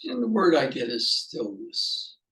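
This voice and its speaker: A man leading an unscripted guided meditation as a teacher, musing haltingly in short fragments with pauses. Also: a little high, wildly swooping pitch, fairly bright tone.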